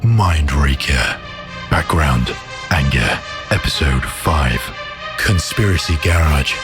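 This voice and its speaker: A man's voice: very deep voice, expressive, takes it slowly, full character voice. A man as the solemn, dramatic announcer of a sci-fi serial, reading a scripted recap and titles. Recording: music behind the voice.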